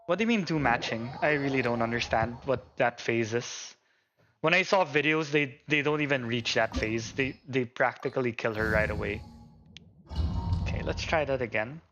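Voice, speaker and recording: A man's voice: medium pitch, moderate pace, dull audio. A man doing casual live gameplay commentary, muttering to himself in frustration. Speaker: gaming streamer. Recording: voice over loud game music. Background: game music and sound effects.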